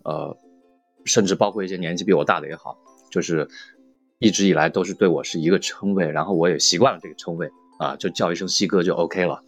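A man's voice: deep voice, steady tone, fast, faint music behind. A man chatting and bantering casually as a guest on a show. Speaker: voice actor guest.

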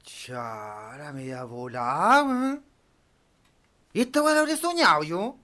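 A man's voice: a little high, lively intonation, slow pace, pushed and bright, soft background music, exaggerated voice. A man with a Chilean accent playing a slangy young shantytown labourer in an amateur audio drama. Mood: groggy. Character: drunk, slangy twenty-year-old construction worker from a shantytown.

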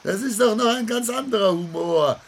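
Bitter outcast old gentleman, high-pitched for a man, creaky, theatrical radio drama, faint recording noise. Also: normal speed. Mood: agitated, bitter. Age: older. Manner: theatrical, swinging between hushed and outbursts. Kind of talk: German radio play acting.

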